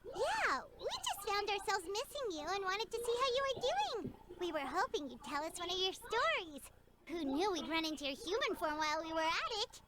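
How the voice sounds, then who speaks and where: A woman voicing a small, chirpy, cheeky childlike fairy companion in a video game, with a squeaky voice. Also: big pitch swings, normal speed, very noisy. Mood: chatty, sheepish. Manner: speaks of herself in third person. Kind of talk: animated video game voice acting. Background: music.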